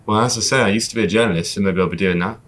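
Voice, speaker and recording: A man, a guest performer, musing out loud in casual podcast chat, filling his talk with "you know". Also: fairly low pitch, very fast. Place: outdoors on a riverboat.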